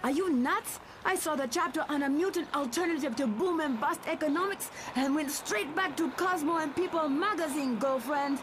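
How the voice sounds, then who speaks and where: A woman as a high-voiced sassy superheroine. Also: normal speed, hardly any pauses, natural voice. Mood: teasing. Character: sassy, teasing young superheroine. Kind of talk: motion comic voice acting. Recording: clear background ambience noise.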